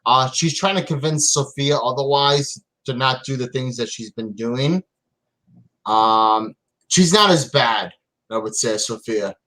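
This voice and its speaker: A man chatting casually as a comic reviewer, explaining things in a tentative, halting way. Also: medium pitch, pushed and bright, low-quality recording.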